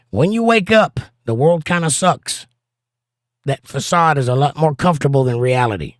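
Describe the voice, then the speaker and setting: Hoarse man giving folksy advice. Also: on the high side, dry recording, studio quality. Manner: folksy, plain-spoken advice. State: hoarse, voice partly lost. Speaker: livestream host and pastor. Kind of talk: casual livestream chat, reading viewer comments.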